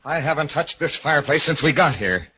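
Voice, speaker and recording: An actor playing an uneasy, skeptical husband in a haunted-house mystery, in 1940s radio drama style. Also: male voice, on the high side, expressive, brisk, bright, forceful tone, breathy edge, muffled recording, full character voice. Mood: tense, alarmed. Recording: noisy, band-limited old radio recording.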